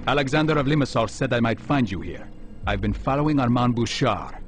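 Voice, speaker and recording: Man playing self-assured assassin, philosophical, formal, mid-pitched, measured phrasing, game cutscene, prominent background music. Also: animated, close to an everyday voice. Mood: guarded. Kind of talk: video game cutscene acting. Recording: music behind the voice.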